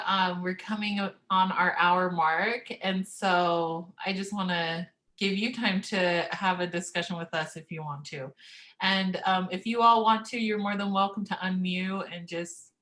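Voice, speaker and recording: A woman's voice: fairly low pitch, a bit bright. A woman hosting a casual book club video call, speaking informally and passing the turn to each member. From United States. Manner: friendly, informal, hands the turn around.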